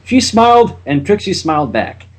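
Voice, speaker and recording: A man's voice: high-pitched, very animated, moderate pace. A man hosting a casual fan fiction reading, narrating with chatty, wry asides. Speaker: channel host and reader.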